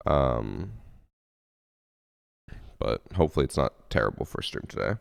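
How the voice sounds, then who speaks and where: A man's voice: rumbling low, very animated, a little slow, vocal fry, no echo, studio quality. A man streaming games from home and chatting casually with his chat, sounding sniffly and congested after a late night, with a laid-back, self-mocking way of talking. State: sniffly, congested from lack of sleep. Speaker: game streamer. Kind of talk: casual live-stream chat, reading chat aloud.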